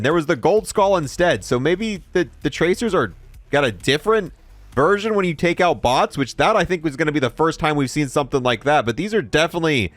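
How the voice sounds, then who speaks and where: Male game reviewer, higher-pitched voice, casual commentary, faint music behind. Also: fairly bright tone. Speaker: game content reviewer and host.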